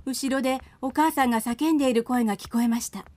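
A woman's voice: high voice, quick pace, a little mellow. A woman narrator reading a scripted storybook tale for a radio drama.

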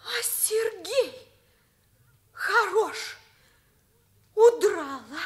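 A woman playing an earnest, excitable teenage schoolgirl in a Soviet radio play, eager and emphatic. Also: very high voice, drawn-out words, exaggerated voice. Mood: excited. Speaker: stage actress.

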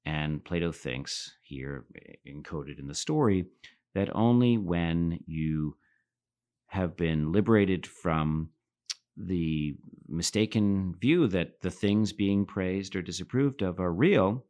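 A middle-aged man hosting a philosophy podcast, giving thoughtful lecture-style commentary and reading passages aloud, lifting his voice for quotes and dropping low at the ends of phrases. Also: takes it slowly, a little mellow.

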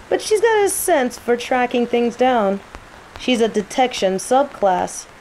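Woman acting as a slow-talking, smug young mage. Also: mid-range voice, poor audio. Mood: smug, probing. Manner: playful, taunting, unhurried. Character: teasing young female nature mage, smug rival apprentice. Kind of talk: roleplay audio drama monologue. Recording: steady rain noise behind. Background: rain.

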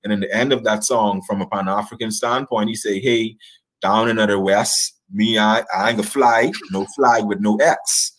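A Bahamian man in his thirties talks as an interview guest over a video call. His voice is husky, and he explains his points with emphasis, often checking that the listener follows. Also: lowish voice, steady tone, normal speed, fairly bright tone, rough recording. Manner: emphatic, checks in with rhetorical questions. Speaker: guest historian. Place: indoors, in front of a draped backdrop, on a video call.

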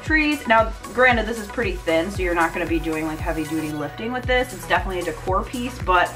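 A woman's voice: lowish voice, expressive, fast talker. Gushy enthusiastic woman in her 30s doing a casual haul vlog, music behind her. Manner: enthusiastic, gushing. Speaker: home decor vlogger. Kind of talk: casual shopping haul chat.

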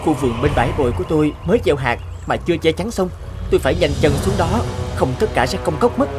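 A man voicing a kind, hardworking young fisherman, earnest and expressive, in an animated fairy-tale dub. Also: fairly high pitch, quick pace, over music, lightly acted. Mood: hurried, resolute. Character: kind, hardworking young fisherman-farmer, anxious but steady.

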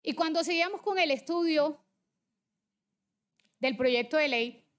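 Female legislator, 40s, Colombian Caribbean accent from Barranquilla, high voice, emphatic debate delivery. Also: unhurried. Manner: insistent, emphatic. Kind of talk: political debate speech with slides.

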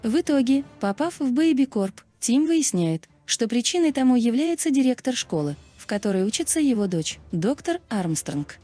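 Lively, high-pitched woman narrator. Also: moderate pace, few pauses, faint music behind. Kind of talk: scripted video narration.

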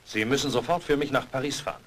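A man's voice: mid-range voice, quick pace, lightly acted. A man playing a curt, impatient boss in a horror audio drama. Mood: brusque.

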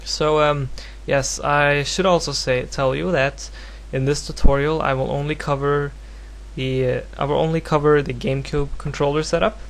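A man from Norway speaking English as a second language with a Norwegian accent, giving a casual, unscripted software tutorial in a hesitant, rambling, self-deprecating way. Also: a little high, steady tone, normal speed. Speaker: tutorial presenter. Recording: home recording with clear background noise and hum.

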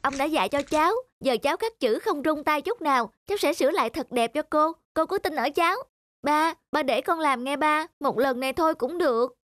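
A woman voicing an eager, polite young boy in an animated moral story, earnest and pleading in manner. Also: high voice, fairly quick, no echo, clean studio sound. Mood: pleading, confident.

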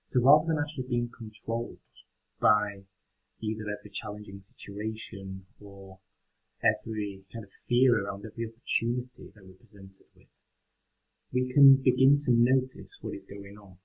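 A man leading a guided meditation in a gentle, unhurried, instructional way. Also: fairly low pitch, breathy edge, poor audio.

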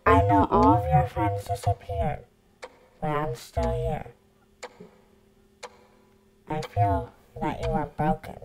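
A performer voicing an eerie, soft-spoken plush bear in a hushed, ominous way for an amateur horror animation, under music. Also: mid-range voice, wildly swooping pitch, slow, pauses often, a crowd in the background, rough recording. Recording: music loudly over the voice.

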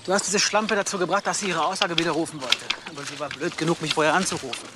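An actor playing a cold, sneering young murderer in a crime radio play, taunting and flippant, recorded over loud noise. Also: male voice, a little high, fairly even intonation, quick pace, close to an everyday voice.

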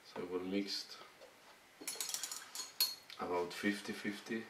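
Low-voiced hoarse guy explaining his painting steps bit by bit, kinda far from the mic in a roomy space. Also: steady tone. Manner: halting, broken phrases while working. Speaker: miniature painting instructor. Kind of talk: step-by-step painting tutorial. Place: indoor hobby painting desk. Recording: roomy, distant mic with faint noise.

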